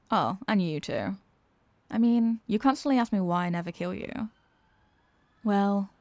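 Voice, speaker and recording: A woman's voice: mid-range voice, darkish tone, no echo. A woman playing an exasperated, sarcastic supervillain who is secretly weary of evil, in a theatrical comedic ASMR roleplay monologue. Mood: weary, confessional.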